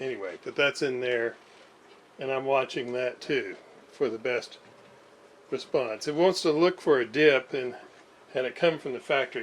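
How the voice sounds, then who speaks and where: A man giving casual, unscripted workbench commentary in a halting way, with pauses and self-deprecating asides. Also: medium pitch. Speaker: host of radio repair videos. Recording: clear background noise.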